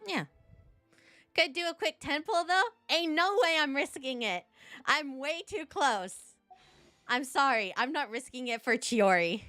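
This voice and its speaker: A woman with a fairly high voice streams a video game. She talks casually to viewers, narrating her thoughts as they come. The sound is dry, with faint music underneath. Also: expressive, a little slow, sharp tone, no room sound. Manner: chatty, thinking aloud to viewers. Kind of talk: casual live gameplay commentary.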